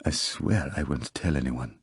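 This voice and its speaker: A man's voice: on the low side, big pitch swings, moderate pace, a little mellow, slightly rough, no room sound, clean studio sound. A man doing a sly foreign stranger with an accent. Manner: polite, furtive, sly. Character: mysterious foreign gentleman, sly and deep-voiced.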